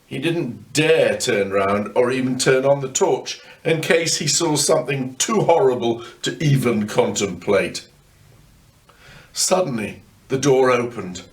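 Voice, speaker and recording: An older man reading a children's ghost story aloud in a wry way, with dramatic pauses. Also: mid-range voice. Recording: distant microphone.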